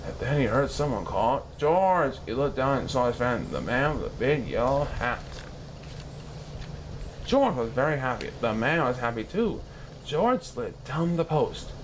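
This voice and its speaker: A man reading a children's story aloud in an expressive, animated style with dramatic pauses. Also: mid-range voice, a little hiss or hum, rough recording.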